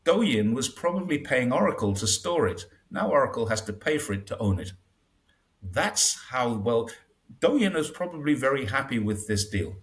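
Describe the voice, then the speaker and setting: Casting note: man in casual interview, lively swooping pitch, wry, acts out quotes. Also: normal speed. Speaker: interview guest. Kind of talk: casual conversational commentary.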